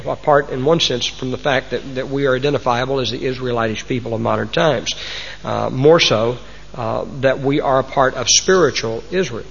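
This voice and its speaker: Folksy Texan preacher in an echoing hall. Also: male voice, medium pitch, slightly rough. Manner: folksy, homespun sayings. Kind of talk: sermon to a congregation. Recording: muffled recording in a large reverberant hall.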